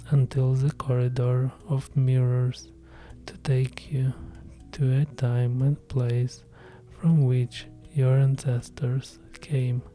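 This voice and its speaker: A man leads a guided meditation in a hushed, whispery voice that is deep, dark and mellow in tone. He keeps an unhurried, even pace with almost no rise and fall in his pitch. Faint music plays softly behind him.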